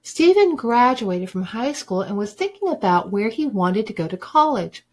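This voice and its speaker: A woman reading a children's book aloud like a teacher, with deliberate, emphatic phrasing for young listeners. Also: on the high side, very animated. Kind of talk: expressive storybook read-aloud.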